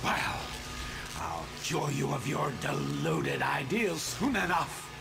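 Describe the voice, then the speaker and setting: An actor playing a sadistic, gloating supervillain in a video game, with a gravelly voice and a theatrical, taunting delivery. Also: male voice, a little high, animated, music in the background, heavily acted. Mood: menacing gloating. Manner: theatrical, taunting, drawn-out menace. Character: sadistic, gloating armored male supervillain on a glider. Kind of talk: video game villain acting.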